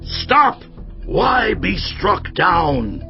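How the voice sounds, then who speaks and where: A man's voice: high voice, big pitch swings, drawn-out words, gravelly, exaggerated voice. An actor playing an arrogant king who gives haughty, commanding orders in an audio drama. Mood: angry. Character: arrogant angry male king. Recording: slightly muffled with music underneath.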